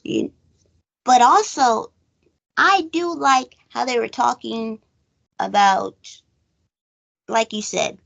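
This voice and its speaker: A woman's voice: a little high, lively intonation, slow, vocal fry, long pauses, fairly bright tone, lo-fi sound. A woman hosting a casual film discussion, rambling conversationally and thinking aloud as she goes. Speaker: host of a film recap show.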